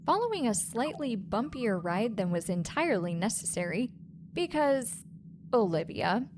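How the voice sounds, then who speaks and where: A woman's voice: high-pitched, a little hiss or hum. A woman playing a sarcastic, mocking narrator of a sci-fi comedy audio drama. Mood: dry, exasperated. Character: snarky female narrator who scorns the characters.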